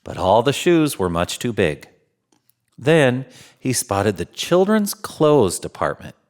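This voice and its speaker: A man reading a children's storybook aloud as a jolly storyteller, with unhurried, dramatic phrasing. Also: mid-range voice, big pitch swings, darkish tone. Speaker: storyteller in Santa persona.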